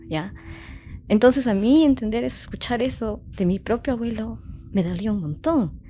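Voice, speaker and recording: A woman's voice: mid-range voice, mellow. Chatty young woman in an interview. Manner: chatty, heartfelt, many fillers. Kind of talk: casual interview talk.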